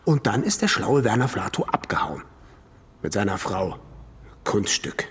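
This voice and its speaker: An actor playing a defensive, indignant imprisoned swindler in a radio drama, speaking emphatically in clipped phrases. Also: male voice, fairly low pitch, very animated, measured pace, fairly bright tone, husky, heavily acted. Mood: bitter. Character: imprisoned swindler, defensive, indignant man blaming his runaway partner. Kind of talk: German radio drama acting.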